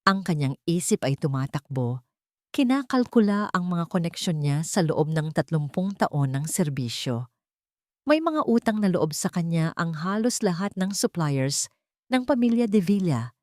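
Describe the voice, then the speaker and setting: A woman's voice: lowish voice, very animated, unhurried, a little mellow, no room sound, studio quality. A woman narrator reading a dramatic scripted story.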